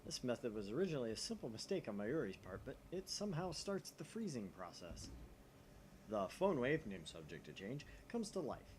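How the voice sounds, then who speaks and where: A man's voice: mid-range voice, fairly quick, poor audio. A young man hosting a gaming show, mixing visual novel narration with casual, joking chat. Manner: joking, animated asides. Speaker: gaming channel host. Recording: faint background noise.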